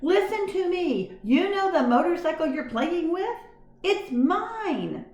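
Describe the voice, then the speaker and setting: A small, desperate male mouse, as a woman reads him aloud, squeaky and pleading as he bargains for his freedom. The voice sits clearly higher than the reader's own. The room gives it a roomy echo. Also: expressive, normal speed, lightly acted.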